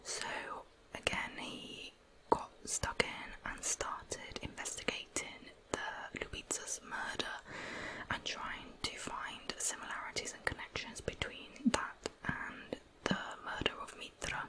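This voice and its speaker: A woman narrates true crime as ASMR, whispering throughout. Her voice is breathy and unvoiced, never rising into normal speech. She tells the story in a hushed, measured way. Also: poor audio.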